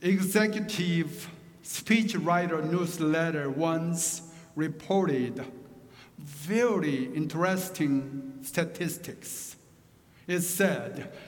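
A middle-aged man, a pastor whose first language is Korean, preaching a sermon with a Korean accent, slow and deliberate with joking asides. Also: on the high side, long reverb tail.

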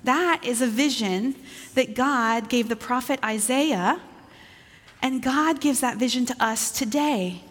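A woman leading a children's moment in church, talking gently and informally in simple phrases with pauses. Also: on the high side. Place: large indoor church. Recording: reverberant church hall.

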